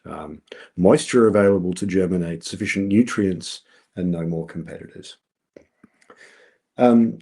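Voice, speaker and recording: A man's voice: lowish voice, mellow, breathy edge. A middle-aged man with an Australian accent, giving a scientific presentation over a video call, explaining steadily with many ums and uhs. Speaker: researcher giving a colloquium talk.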